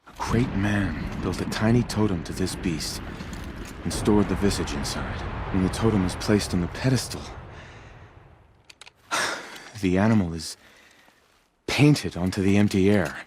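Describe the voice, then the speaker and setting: Earnest, low-voiced amateur naturalist, noisy background. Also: male voice, lively intonation, a little mellow. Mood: welcoming, reverent. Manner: wonderstruck, halting, self-important musing with sighs. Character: earnest, eager self-taught male naturalist in a post-apocalyptic tribe. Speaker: non-player character in a video game. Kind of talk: video game dialogue acting.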